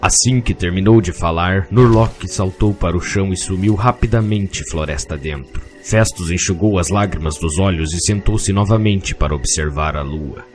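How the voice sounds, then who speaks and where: A man with a Brazilian accent narrating a scripted fantasy story in a measured, literary way. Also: low-pitched. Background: music.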